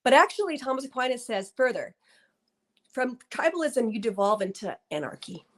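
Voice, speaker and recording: A woman's voice: a little high, sing-song, normal speed, a bit bright. A woman in her thirties, guest author in an interview, talking earnestly with many fillers and restarts. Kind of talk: conversational interview.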